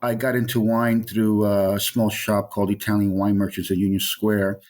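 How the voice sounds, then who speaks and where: A man's voice: on the low side, level tone, normal speed, a little mellow. A middle-aged man from Colombia, a wine specialist being interviewed, talking in a rambling way with many fillers and restarts. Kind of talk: interview conversation.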